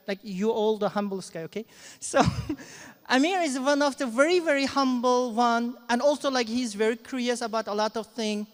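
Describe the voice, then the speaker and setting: A man who speaks English as a second language, moderating a panel informally with halting, repetitive phrasing. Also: very high-pitched, clean tone. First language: not English. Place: event hall at a live panel. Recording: echoey hall with long reverb.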